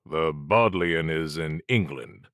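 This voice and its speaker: A man voicing a stoic, gruff male investigator in an audiobook, laconic and flat. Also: rumbling low, slow, no echo, clean studio sound, exaggerated voice. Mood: grim. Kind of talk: audiobook character acting.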